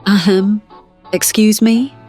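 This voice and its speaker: A woman's voice: medium pitch, fairly even intonation, takes it slowly, darkish tone, faint music behind, natural voice. A woman voicing a female AI co-pilot with a prim, teasing manner. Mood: sassy. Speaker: AI sidekick.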